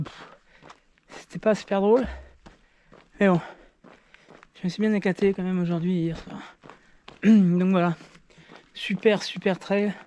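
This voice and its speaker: A man's voice: sits high, warm tone, slight background noise. A man filming himself during a mountain trail race, talking casually and tired, with lots of fillers and restarts. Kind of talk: casual vlog commentary while running.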